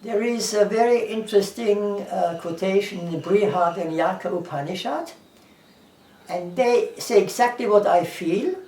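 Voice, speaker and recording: A man's voice: sits high, normal speed, a little mellow. German-accented man, earnest and echoey. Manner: earnest, repeats himself, lists things, quotes scripture. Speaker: English teacher. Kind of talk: casual talk to camera. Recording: echoey room, far from the mic.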